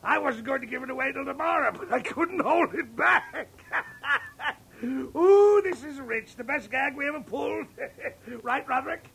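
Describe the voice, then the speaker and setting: An actor playing a gleeful, cruel old millionaire prankster in 1940s radio style, raspy, mocking and cackling. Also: male voice, extremely high pitch, unhurried, a bit bright, full character voice. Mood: gleeful mockery. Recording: old radio drama recording.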